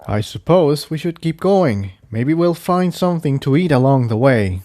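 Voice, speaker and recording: A man's voice: a little high, animated, moderate pace, dark tone, pitched above the performer's own voice, close to an everyday voice. A man voicing an earnest, inquisitive male pony adventurer in a story reading. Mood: thoughtful.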